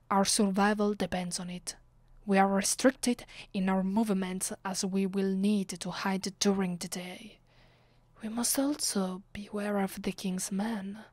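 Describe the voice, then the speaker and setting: A woman with an Italian accent playing a commanding, vengeful vampire in an ASMR roleplay, her voice soft and breathy, often sinking to a whisper. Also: medium pitch, dry recording, lightly acted. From Italy. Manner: slow, deliberate, imperious. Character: commanding, vengeful female vampire, secretly affectionate. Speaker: ASMR voice actress.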